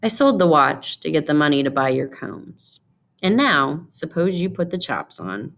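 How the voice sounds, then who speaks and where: A woman reads the part of a young husband in a storybook, serious and plain-spoken. The voice sits low with a gravelly creak, earnest and a little puzzled. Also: sing-song, moderate pace, a bit bright, exaggerated voice. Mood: tender, resigned. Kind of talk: storybook read-aloud character voice.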